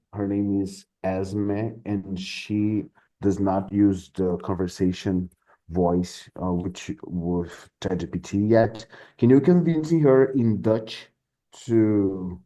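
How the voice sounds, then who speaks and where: A man's voice: on the low side, mellow, rough recording. A man with a Brazilian accent hosting a casual podcast chat, playful, laughing often and stumbling over words. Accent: Brazilian Portuguese. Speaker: podcast host and English teacher.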